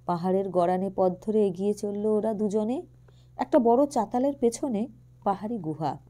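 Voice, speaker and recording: A woman narrates a romantic short story in an expressive literary style. Her voice is mellow and warm, sitting at an average pitch. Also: normal speed.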